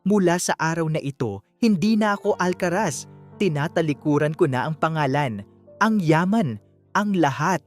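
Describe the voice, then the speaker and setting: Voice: medium pitch, animated, normal speed, natural voice. A grown heir , voiced by a narrator in a storybook romance drama. He is wounded, defiant and resolute, speaking with grave intensity. Light music sits behind the voice. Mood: calm, resolute. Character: wounded, defiant adult son of rich family.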